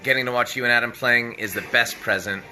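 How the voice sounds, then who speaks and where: A man's voice: mid-range voice, fairly even intonation, fast, sharp tone, faint music behind, poor audio. A man hosting a casual livestream from his living room while a guest plays, upbeat, laughing often and asking questions. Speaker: streamer host.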